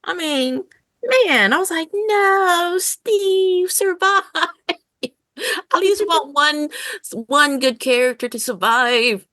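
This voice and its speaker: A woman podcast host in a casual review chat, informal and expressive, acting out her own reactions. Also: very high voice, slow, no echo, clean studio sound.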